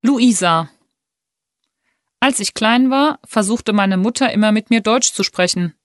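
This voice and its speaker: A woman reading a first-person passage aloud for a language listening exercise, clear and careful. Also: a little high, unhurried, no room sound, clean studio sound. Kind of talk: scripted reading of a textbook listening text.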